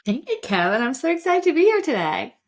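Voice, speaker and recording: Energetic German-accented woman telling her story. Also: sits high, a little fast. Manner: energetic, storytelling, says "like" and "literally" a lot. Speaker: guest, side hustle coach. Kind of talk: conversational podcast interview.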